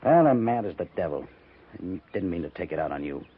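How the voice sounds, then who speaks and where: An actor playing a gruff, irritable cavalry major with a clipped, commanding manner in a 1950s radio western. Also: male voice, sits low, sing-song, brisk, heavily acted. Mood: apologetic, flustered. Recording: narrow muffled 1950s radio recording.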